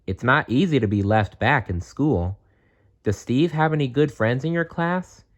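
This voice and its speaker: A man voicing a kindly, gentle little magical bear in a storybook, reassuring and softly advising. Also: medium pitch, animated, moderate pace, voice pushed up, natural voice. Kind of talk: storybook read-aloud.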